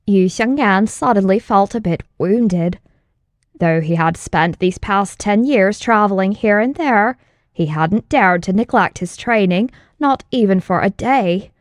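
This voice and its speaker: A woman reading scripted fantasy narration as an audiobook narrator, in a measured, dramatic storytelling manner. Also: mid-range voice, no echo, clean studio sound.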